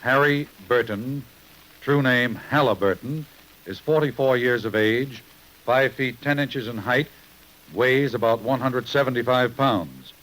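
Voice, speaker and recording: A male bulletin reader from mid-century radio with a medium-pitched voice. He speaks formally and with official emphasis, on an old broadcast transcription. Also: measured pace, fairly bright tone, poor audio. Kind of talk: 1950s radio scripted bulletin.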